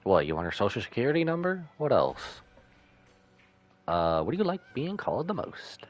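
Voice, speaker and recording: A man's voice: mid-range voice, wildly swooping pitch, normal speed. A man live-streaming a game, reading along and reacting playfully, often repeating phrases for emphasis. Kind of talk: live stream commentary with dialogue read-aloud. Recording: game music behind voice. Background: game music.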